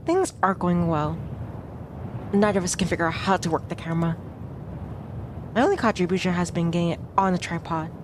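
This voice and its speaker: A woman's voice: fairly low pitch, brisk, long pauses, noisy background, lightly acted. A woman playing a self-doubting, observant young heroine, narrating a visual novel in a glum, confessional first person. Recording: music clearly behind the voice.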